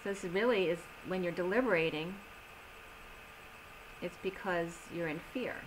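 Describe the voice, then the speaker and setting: A woman giving a tarot reading in a slow, soothing manner, slightly strained as she is losing her voice. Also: lowish voice, a little hiss or hum, poor audio. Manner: slow, soothing reading of oracle cards with gentle pauses. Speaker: tarot reader. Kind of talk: solo tarot reading video.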